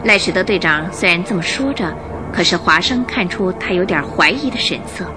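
A woman's voice: fairly low pitch, lively intonation, brisk. A woman delivering dramatic, suspenseful scripted narration for a radio drama. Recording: radio drama with music underneath.

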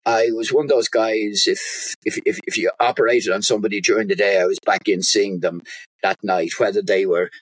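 A man's voice: on the low side, fairly even intonation, a bit bright, husky, no echo, low-quality recording. An older man with a Dublin accent, a doctor telling his story as a guest in an interview, stopping and restarting often. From Ireland. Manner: halting, with frequent restarts and repeated words.

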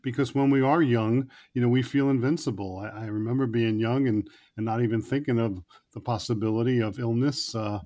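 A man's voice: mid-range voice, steady tone, a little fast, few pauses, dark tone. A man hosting an interview podcast, speaking in a conversational but prepared way. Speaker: podcast host interviewing a guest.

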